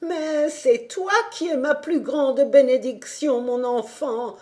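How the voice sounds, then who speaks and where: A woman's voice: sits high, takes it slowly, dark tone, voice pushed up. A woman voicing an old, blind, tender grandmother in a storybook reading, speaking in a trembling, pleading way.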